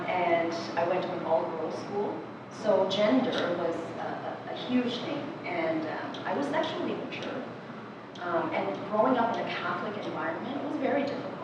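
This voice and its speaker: A woman teacher from Hong Kong speaking on a panel, reflective and hesitant, with many pauses and ums. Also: lowish voice. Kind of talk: panel discussion talk. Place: lecture hall. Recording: distant muffled mic, echoey hall, loud noise.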